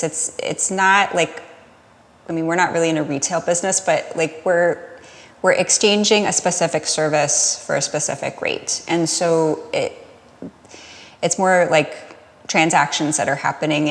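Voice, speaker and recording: A woman's voice: low voice, creaky, long reverb tail. An American woman talking casually as a podcast guest, explaining things in a looping, self-interrupting way. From United States. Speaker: podcast guest, Pilates studio owner.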